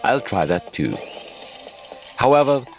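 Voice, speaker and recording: A man's voice: mid-range voice, sing-song, measured pace, music in the background. A man narrating a hobby tutorial as a voice-over, hosting his own how-to video.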